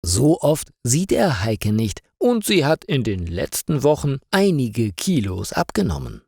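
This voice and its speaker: A man's voice: mid-range voice, wildly swooping pitch, a little slow, vocal fry, darkish tone, slightly rough, no echo, clean studio sound. A man reading a scripted story for language learners as its narrator.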